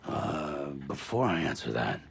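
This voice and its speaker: Gravelly, low guarded male courier. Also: sing-song, heavily acted. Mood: guarded, uneasy. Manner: terse, halting. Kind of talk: cinematic game voice acting. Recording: faint background noise.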